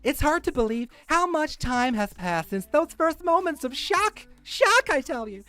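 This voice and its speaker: A man performs a self-pitying woman with a very high-pitched voice. She pleads for support in theatrical, mock-solemn dramatic reading, recorded dry and close. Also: very animated, a little slow, pushed and bright, dry recording, exaggerated voice. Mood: mournful, melodramatic.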